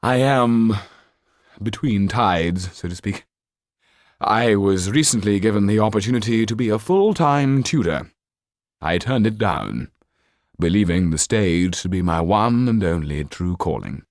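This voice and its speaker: A man voicing a flamboyant, down-at-heel stage actor in an audiobook, effusive and courtly, with grandiloquent, drawn-out phrasing. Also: lowish voice, expressive, no room sound, clean studio sound, pitched above the performer's own voice. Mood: rueful, grand. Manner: grandiloquent, declamatory, drawn-out delivery.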